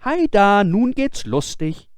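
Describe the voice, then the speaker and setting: A man's voice: sits high, sing-song, slow pace, smooth, dry recording, studio quality, pitched above the performer's own voice, exaggerated voice. A man voicing a cheerful, naive, fearless youth in a fairy tale, with a bold, carefree, exclaiming manner. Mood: bold excitement. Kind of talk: fairy-tale storybook character voice.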